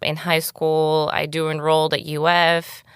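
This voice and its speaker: A young woman from Bulgaria, Bulgarian her first language, speaks low with a gravelly edge. Her voice stays smooth and full as she tells her story candidly. The recording is close and dry. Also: monotone, unhurried, no echo. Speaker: guest. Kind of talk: casual interview talk.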